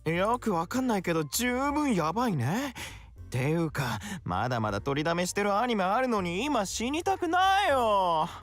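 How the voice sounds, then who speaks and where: An actor playing a laid-back, whimsical young anime fan, casual and teasing, in visual novel style. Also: male voice, sits high, very animated, fast talker, fairly bright tone, soft background music. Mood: casual, unimpressed. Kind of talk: Japanese visual novel voice acting.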